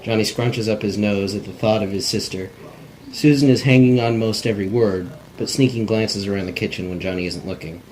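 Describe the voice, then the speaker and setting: A man's voice: lowish voice, brisk, a little mellow, rough recording. A man reading stage directions in an amateur cold table read, plainly and with stumbles over words. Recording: faint background noise.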